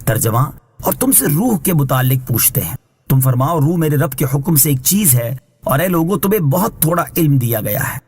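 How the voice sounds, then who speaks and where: Husky higher-pitched man narrating religious commentary. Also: lively intonation, a little mellow. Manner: measured, formal, instructive. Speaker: Islamic scholar reading translation and commentary. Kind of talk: scripted religious exegesis narration.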